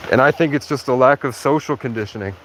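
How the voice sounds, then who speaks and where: A man's voice: mid-range voice, a bit bright, a little hiss or hum, low-quality recording. Sleep-deprived man rambling on a livestream. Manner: halting, restarts often, heavy use of "like". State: sleep-deprived after hours of talking. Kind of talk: rambling casual monologue. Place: outdoors in a rural area.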